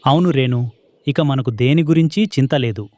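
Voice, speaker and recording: An earnest little sparrow husband in a children's cartoon, played by a man. He speaks plainly in a medium-pitched voice with no room sound, as a hardworking family bird. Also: normal speed, a little mellow, no room sound, natural voice. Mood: reassuring. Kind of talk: children's moral story cartoon dialogue.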